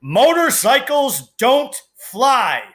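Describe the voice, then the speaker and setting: Here is a hot-tempered, bullying middle-aged uncle from a storybook, as a man reading aloud plays him. He blusters with menace and hits his words hard, in a bright, forceful tone. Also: very high-pitched, sing-song, extremely slow, pitched above the performer's own voice, full character voice. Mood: furious.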